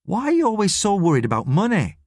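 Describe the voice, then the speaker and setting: A man acts out a whimsical young man in an audiobook. He is theatrical and excitable, lifting his voice high with big, lively swings. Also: quick pace, dry recording, studio quality, full character voice. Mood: teasing. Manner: theatrical, exuberant. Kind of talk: audiobook character voice.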